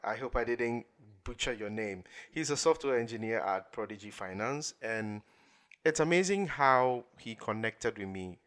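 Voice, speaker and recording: A man's voice: medium pitch, lively intonation, normal speed, a bit bright. A man hosting a podcast, welcoming his guest in a formal, courteous way with frequent fillers and restarts. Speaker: podcast host. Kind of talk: podcast interview.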